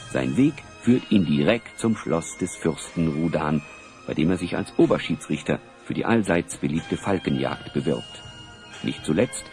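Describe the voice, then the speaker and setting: A man narrating a children's radio play in a measured, dramatic storytelling style, reading from a script. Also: low voice, darkish tone. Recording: music behind the voice. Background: music.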